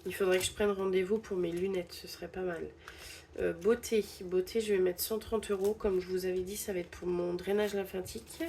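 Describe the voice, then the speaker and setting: A woman's voice: fairly low pitch, steady tone, fairly quick, warm tone, slight background noise. A young woman with a stuffy head cold, casually narrating a budgeting vlog and hesitantly counting bills aloud. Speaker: budgeting channel host.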